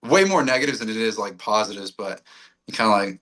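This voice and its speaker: A man's voice: fairly low pitch, expressive, fast, a bit bright. A man of twenty-six, a radio personality chatting casually as a guest, slangy, rambling and self-deprecating. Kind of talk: casual chat.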